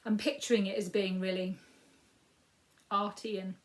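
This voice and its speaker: A woman in her fifties with a Yorkshire accent and a croaky voice, giving a rambling, casual crafting update with frequent ums. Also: mid-range voice, little pitch movement, normal speed. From Yorkshire, England. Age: 50s. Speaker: crafting podcast host.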